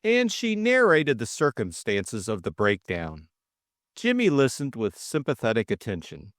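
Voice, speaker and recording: A male storyteller reads a classic tale with measured expression. His pitch moves widely over a slow pace, in a close, dry recording. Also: wildly swooping pitch, no echo. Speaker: audiobook narrator. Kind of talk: scripted story narration.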